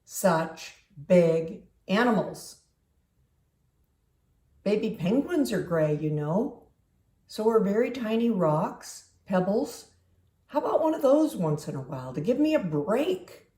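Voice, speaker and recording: Storybook crayon, overworked and exasperated female reader, slow, mellow, drawn-out complaints, roomy echo. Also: medium pitch, very animated. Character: weary, overworked gray crayon pleading for a break. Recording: roomy, echoing home recording.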